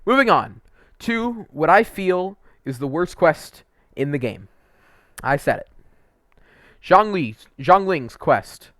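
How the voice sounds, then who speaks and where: A man's voice: high-pitched, no room sound, clean studio sound. A man giving casual, opinionated game review commentary, informal and emphatic, stretching words for emphasis.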